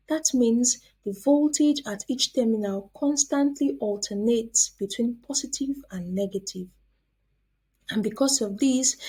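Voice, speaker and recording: A woman presenting a scripted educational narration as a science teacher, deliberate and explanatory, posing questions and then answering them. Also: on the high side, warm tone, breathy edge, low-quality recording.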